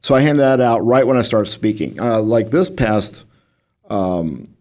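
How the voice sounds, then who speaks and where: Booming-voiced man, lively storyteller. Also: measured pace. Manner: lively, self-described goofy storyteller. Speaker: guest, financial advisor who runs seminars. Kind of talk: casual podcast conversation.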